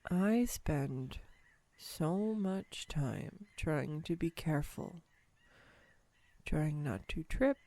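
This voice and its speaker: Woman acting as smitten awkward girl on a date, unhurried, gravelly fry, tender, playful, hesitant, romantic roleplay. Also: sits low, animated, mellow, full character voice. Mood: tender, sincere. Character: clumsy, sweetly awkward young woman smitten on a first date. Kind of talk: romantic audio roleplay addressed to the listener. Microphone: close USB microphone, dry room.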